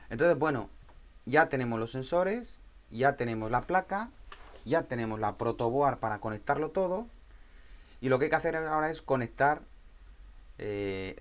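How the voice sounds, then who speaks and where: A man with an accent from Spain narrating an informal technical tutorial, explaining step by step and often checking in with short tag questions. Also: fairly low pitch. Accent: Spanish from Spain. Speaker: tutorial presenter.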